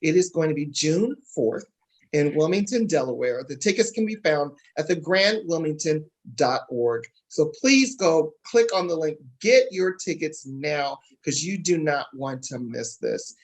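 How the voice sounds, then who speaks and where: A host with a medium-pitched voice, speaking conversationally while presenting guests and plugging an event. The delivery is that of a promotional interview. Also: animated, a little slow.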